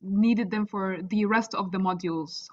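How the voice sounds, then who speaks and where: Female guest, native Arabic speaker, polite measured answers, muffled lo-fi call audio. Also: mid-range voice, steady tone, darkish tone. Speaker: guest, recent medical graduate. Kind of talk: answering interview questions.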